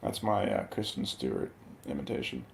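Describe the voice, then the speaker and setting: Low-voiced man bantering over clear background noise. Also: big pitch swings, moderate pace. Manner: joking, riffs on pop culture. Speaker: movie review show panelist. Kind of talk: casual movie banter.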